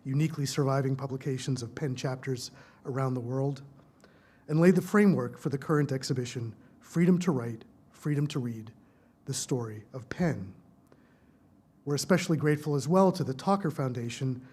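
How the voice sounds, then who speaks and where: A man at a podium in a library lecture hall, reading out formal introductions for an evening talk. His voice sits at an ordinary middle pitch, and he keeps a measured, prepared delivery throughout. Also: darkish tone, breathy edge. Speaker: event host introducing speakers.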